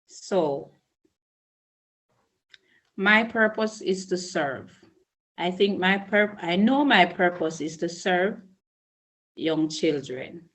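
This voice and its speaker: Jamaican woman, middle-aged, principal being interviewed; low, unhurried, earnest. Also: expressive, a bit bright, rough recording. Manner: slow, measured, earnest with restarts. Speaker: early childhood school principal. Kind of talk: interview answers.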